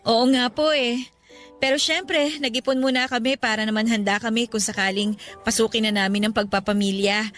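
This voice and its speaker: A woman's voice: on the high side, steady tone, normal speed, a bit bright, lightly acted. A woman playing an affectionate, respectful young adult daughter in a radio drama, with a Filipino accent. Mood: earnest. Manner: respectful, earnest, heartfelt. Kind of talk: radio drama acting in Tagalog. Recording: music bed behind the voice.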